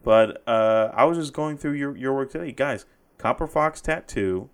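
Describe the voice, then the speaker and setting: A man's voice: mid-range voice, normal speed, clear, resonant tone. A man hosting a podcast in casual conversation, enthusiastic and full of praise, laughing often. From Spanish heritage. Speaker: podcast host. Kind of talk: casual interview chat.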